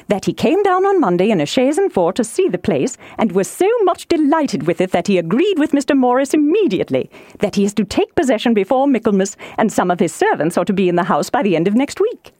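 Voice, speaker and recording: A woman voicing an excitable, nagging middle-aged wife in an audiobook, with a gushing, impatient, emphatic manner. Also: fairly high pitch, dry recording, studio quality. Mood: excited, gossipy. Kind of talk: audiobook character voice.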